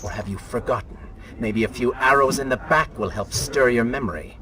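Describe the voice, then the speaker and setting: An actor voicing the sardonic, mocking and self-serving dark inner voice of a prince in a video game. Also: male voice, medium pitch, a little fast, natural voice. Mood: sardonic, taunting. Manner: sneering, sarcastic. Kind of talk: video game character acting.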